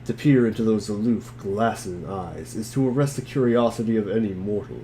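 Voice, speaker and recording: A man's voice: lowish voice, fairly quick, dark tone. Man doing theatrical narration, faint background noise. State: strained, tired throat. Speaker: reader in a group dramatic reading. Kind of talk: theatrical dramatic reading of narration.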